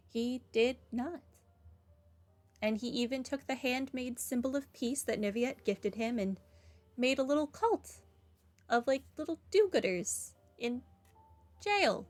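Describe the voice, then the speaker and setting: A woman giving casual, informal and reflective commentary as she plays a game. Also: on the high side. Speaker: let's play gamer.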